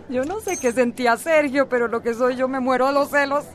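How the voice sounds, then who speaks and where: An actress with a Costa Rican accent playing a talkative, affectionate friend, effusive and teasing, in a radio soap. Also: female voice, high voice, normal speed, close to an everyday voice. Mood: amused, teasing.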